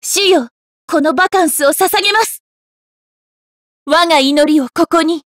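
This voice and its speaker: A woman's voice: very high-pitched, unhurried, no echo. A woman voicing a cheerful, devout young maiden for an anime mobile game, speaking politely and earnestly. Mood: devout, resolute. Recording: studio voice over game music. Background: game music.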